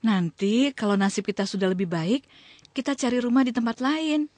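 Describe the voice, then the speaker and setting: A woman playing a patient, struggling young wife in a radio drama, speaking gently and with resignation. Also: fairly high pitch, fairly even intonation, moderate pace, dry recording, natural voice. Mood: patient, resigned.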